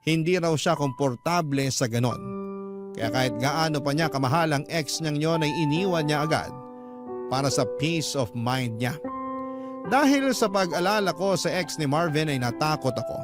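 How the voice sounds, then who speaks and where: A remorseful, jealous spouse, voiced by a man narrating a radio drama confession. The voice is on the high side and earnest, with music clearly playing behind it. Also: lively intonation, normal speed, lightly acted. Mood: reflective, regretful. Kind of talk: scripted first-person radio drama narration.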